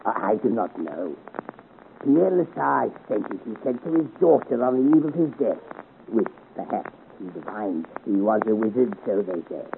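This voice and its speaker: An actor playing a sly, fawning old rogue with a creaky voice, in a theatrical 1950s radio serial on a thin, noisy recording. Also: male voice, on the low side, very animated, normal speed, phone-quality sound. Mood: wary, insinuating. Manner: obsequious, theatrical.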